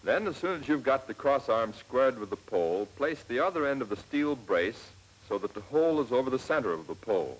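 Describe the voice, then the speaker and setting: Husky American man, 1940s training film narrator. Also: medium pitch, sing-song, low-quality recording. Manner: clear step-by-step instructing, measured and plain. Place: scripted voice-over for film. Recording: old 1940s film soundtrack, slightly rough.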